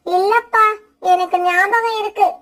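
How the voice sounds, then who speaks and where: A woman's voice: very high voice, steady tone, a little slow, fairly bright tone. A woman voicing a young female cartoon bird, an insistent, bossy and wheedling daughter and wife. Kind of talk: children's cartoon voice acting.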